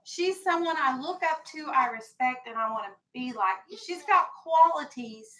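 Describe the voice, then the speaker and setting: American lady teacher, high bright voice, walking little kids through new words, asking and directing. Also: lively intonation, moderate pace. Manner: instructive, prompting pupils with questions and directives. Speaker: elementary school teacher. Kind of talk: classroom vocabulary lesson to young children. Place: classroom with young children.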